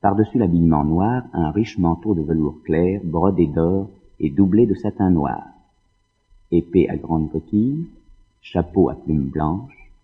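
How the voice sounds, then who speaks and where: A male narrator reads stage directions for an audio drama. His voice is very low and husky, and the sound is narrow and muffled, as if through a phone. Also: unhurried.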